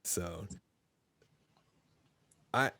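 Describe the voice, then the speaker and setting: A man hosting a podcast interview over a video call, asking rambling, halting questions full of restarts. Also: bright, forceful tone, dry recording. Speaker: podcast host. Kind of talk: casual interview chat.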